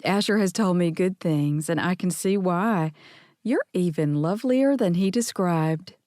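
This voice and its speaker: A woman's voice: on the low side, unhurried, a little mellow, no room sound, clean studio sound, lightly acted. A woman doing a bubbly, gushing mom. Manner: effusive, chattering. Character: bubbly, chatty middle-aged mother.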